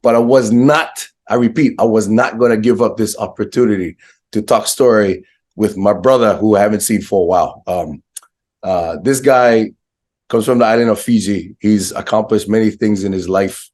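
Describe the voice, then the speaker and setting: A man with a fairly low voice, a little hoarse from getting over an illness, hosts a casual interview. He speaks informally and with brotherly slang, as if catching up with an old friend. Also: moderate pace, a little mellow. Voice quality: slightly hoarse. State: hoarse, recovering from a viral infection. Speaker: podcast host.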